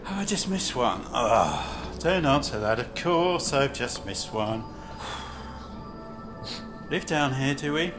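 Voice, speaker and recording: Blunt, animated male city banker, acted by a man. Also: mid-range voice, slow, long pauses, music in the background. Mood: impatient. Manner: blunt, grumbling, questioning. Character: brusque, chatty city banker waiting for a train. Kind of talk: amateur radio play acting.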